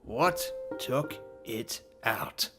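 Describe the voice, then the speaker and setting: An actor playing a bold, loyal, plain-spoken young adventurer in an audio drama, speaking bluntly and emphatically. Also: male voice, mid-range voice, lively intonation, very slow, music in the background. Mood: fierce, hushed.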